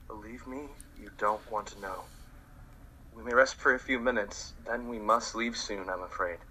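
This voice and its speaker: A man's voice: lowish voice, fairly even intonation, moderate pace, sharp tone, slight background noise, poor audio. A man playing a mysterious young man who urgently pushes a girl to hide from danger, in an amateur radio drama. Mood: grave. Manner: urgent, secretive, commanding.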